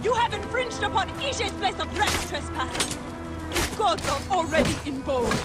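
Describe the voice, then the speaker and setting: A woman's voice: extremely high pitch, expressive, normal speed. An actress playing a grieving, defiant mother in a video game, with intense, plaintive delivery. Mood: defiant anguish. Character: grieving, defiant mother obsessed with resurrecting her daughter. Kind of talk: dramatic video game acting. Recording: echoey hall reverb under music.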